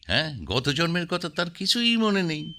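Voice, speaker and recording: An eager, curious questioner. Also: male voice, on the high side, lively intonation, moderate pace, bright, forceful tone, slight background noise. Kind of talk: radio play acting.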